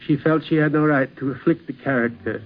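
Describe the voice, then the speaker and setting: A man reading a formal, measured reminiscence in scripted mid-century radio style. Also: fairly high pitch. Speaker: theatre producer giving a reminiscence. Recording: dull vintage radio recording.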